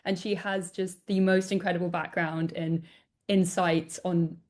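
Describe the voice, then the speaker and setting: A woman's voice: fairly low pitch, flat delivery, unhurried, a little mellow. A woman hosting an interview podcast, talking in an enthusiastic, upbeat way. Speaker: podcast host. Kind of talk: conversational interview.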